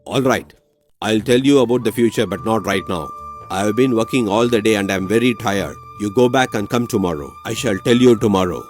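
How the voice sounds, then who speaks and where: A man's voice: on the low side, fast talker, a little mellow, soft background music, lightly acted. A man voicing a stern, impatient village doctor who speaks in a curt, scolding way in a cartoon story. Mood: tired. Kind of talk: cartoon voice acting.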